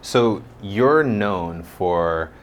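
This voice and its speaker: A man's voice: deep voice, expressive, drawn-out words, lo-fi sound, a little hiss or hum. A male podcast host asking plain, brief interview questions. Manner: brief and plain, mostly backchannels.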